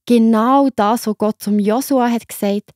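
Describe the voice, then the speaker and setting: A woman's voice: on the high side, fairly even intonation, measured pace, darkish tone, dry recording, studio quality. A woman with a Swiss German accent narrating a scripted children's Bible story, reassuring and speaking straight to the young listener.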